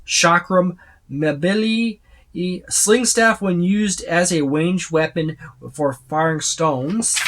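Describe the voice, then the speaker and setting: A man giving a casual, unscripted walkthrough of a book, rambling and often listing items one by one. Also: fairly high pitch. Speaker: tabletop gaming reviewer.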